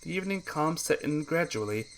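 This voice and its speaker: A man reading a visual novel aloud as a let's play narrator, with expressive, earnest storytelling delivery. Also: fairly high pitch, brisk.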